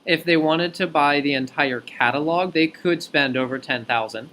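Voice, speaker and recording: A man working as a recruiter on a phone interview, explaining things clearly point by point in an articulate way. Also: a little high, normal speed, pushed and bright. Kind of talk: explanatory job interview talk.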